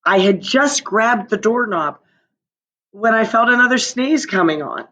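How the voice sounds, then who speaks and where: Woman voicing a slow-talking, self-justifying storybook wolf. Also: a little high, animated, a bit bright, lo-fi sound, voice pushed up. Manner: conversational, emphatic, drawn-out dramatic phrasing. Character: male storybook wolf, chatty, self-justifying, mock-innocent. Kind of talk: storybook narration in first person.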